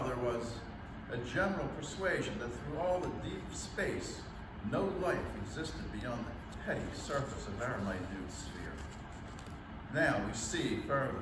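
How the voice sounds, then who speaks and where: A man acting a grave, reflective scientist who survived an alien invasion, in a solemn, measured radio drama monologue. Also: mid-range voice, close to an everyday voice. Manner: solemn, measured, literary delivery. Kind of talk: 1938 radio drama monologue, staged reading. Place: live staged reading in a library. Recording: echoey room from far off, loud noise.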